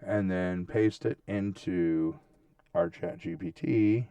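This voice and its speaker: A man with a lowish, gravelly voice walks viewers through a tutorial in an informal, step-by-step way. He checks in often as he goes. The sound is dry, with the voice sitting a little away from the mic. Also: animated, takes it slowly, dark tone, no room sound, recorded from a distance, muffled recording. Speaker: tutorial host. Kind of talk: casual screen-share tutorial, narrating steps as he goes.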